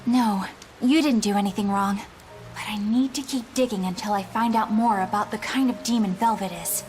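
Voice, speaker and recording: A woman's voice: mid-range voice, fairly even intonation, moderate pace, a little mellow, faint music behind, close to an everyday voice. A woman playing a proper, dutiful and stubborn young female warrior in a video game, with formal, earnest speech. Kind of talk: English video game voice acting.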